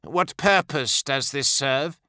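A man's voice: medium pitch, slow, sharp tone, dry recording, clean studio sound. A man voicing a frightened, pleading warrior commander in an audiobook, with a strained, faltering delivery. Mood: anxious confusion. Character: cowed, pleading male warrior commander, anxious subordinate. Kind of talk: dramatic audiobook character voice.

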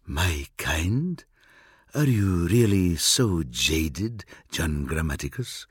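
A man voicing a cryptic male alien seer in an audiobook, speaking low, hushed and measured with calm superiority. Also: husky, no echo, studio quality, full character voice. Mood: cool, probing. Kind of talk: audiobook reading.

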